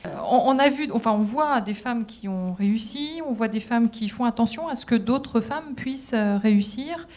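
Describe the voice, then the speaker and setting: Slightly high-voiced woman moderator. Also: normal speed, hardly any pauses, a little mellow. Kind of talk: panel moderation.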